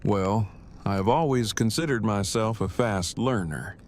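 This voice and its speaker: A man playing an awkward, earnest, bookish survivor in an audio drama, speaking in formal, precise, wordy sentences with a deadpan delivery. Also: on the low side, measured pace, a little hiss or hum, close to an everyday voice. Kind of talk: fan audio drama acting.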